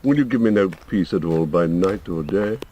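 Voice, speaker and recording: A man voicing a persuasive male villain in an audiobook, speaking with gentle, melodious, aggrieved questioning. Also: low voice, animated, normal speed. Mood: gently aggrieved. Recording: noisy audiobook playback.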